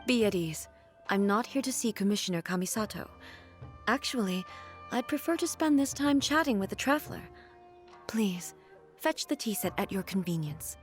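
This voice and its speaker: A woman voicing a composed, formal female ruler in a game, speaking calmly and with measure. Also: medium pitch, moderate pace, faint music behind, lightly acted. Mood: solemn. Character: regal, composed female ruler, calm and solemn.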